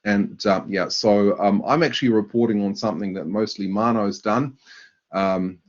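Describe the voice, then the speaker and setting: A man with a German accent presenting a university webinar, talking in a halting way with many fillers and restarts. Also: fairly low pitch, fairly even intonation. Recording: dull lo-fi webinar audio.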